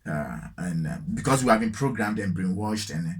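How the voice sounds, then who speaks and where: Husky Ghanaian man musing slowly. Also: deep voice, very animated. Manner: halting, many fillers and repeated words; slow, reflective, repeats phrases for emphasis. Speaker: solo vlogger. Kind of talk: unscripted spiritual musing. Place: alone, lighting fading.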